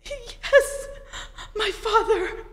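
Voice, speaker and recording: An amateur actress playing a distraught, hesitant young woman with halting, tremulous delivery in an old-time radio play. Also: extremely high pitch, expressive, fairly bright tone, full character voice. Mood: upset, reluctant. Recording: reverberant hall.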